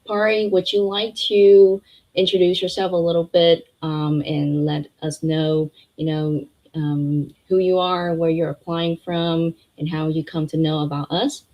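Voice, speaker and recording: A woman's voice: lowish voice, level tone, measured pace, highs cut off. A woman hosting a live interview, asking questions in a friendly way with many fillers. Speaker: host.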